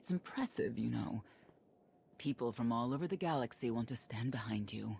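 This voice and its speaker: A woman playing a middle-aged, reflective, wry warrior in a game, with a gravelly voice and deliberate delivery. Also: extremely low pitch, animated, darkish tone, slight background noise, exaggerated voice. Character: seasoned, reflective, dryly witty warrior woman.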